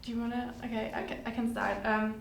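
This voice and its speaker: A woman's voice: a little high, little pitch movement, fast, vocal fry. A woman in her twenties from Germany, chatting casually as a podcast guest with lots of fillers. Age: 20s. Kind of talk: casual chat. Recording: echoey room with faint noise.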